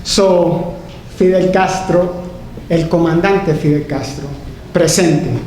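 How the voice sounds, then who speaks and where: A woman doctor giving an impassioned political tribute speech with emphatic, deliberate phrasing and repetition. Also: on the low side, steady tone. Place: large indoor hall, tribute event. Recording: very echoey hall, faint noise.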